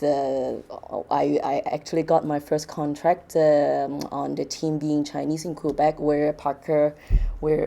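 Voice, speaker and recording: Woman from Hong Kong, low voice, soft mellow tone, hesitant talk full of fillers, event presenter. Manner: hesitant, many fillers and restarts. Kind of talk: short talk to an audience.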